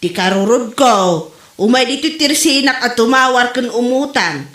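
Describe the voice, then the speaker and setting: A woman's voice: on the high side, animated, moderate pace, a bit bright. A woman playing a blunt, gossipy neighbor in an amateur comedy drama, teasing and grumbling about others. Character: blunt, gossipy, irritated female neighbor friend. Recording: roomy, echoing room sound.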